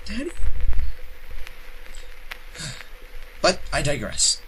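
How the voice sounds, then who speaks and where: A man playing a hapless, bitter junior journalist who narrates his schemes in a dry, deadpan comic tone, over guitar music. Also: a little high, very slow, a little hiss or hum, exaggerated voice, poor audio. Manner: dry, sardonic.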